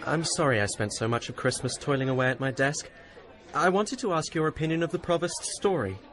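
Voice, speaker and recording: An actor playing an eager young student in a radio drama. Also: male voice, a little high, a little fast, fairly bright tone, some chatter behind, natural voice. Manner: eager, curious.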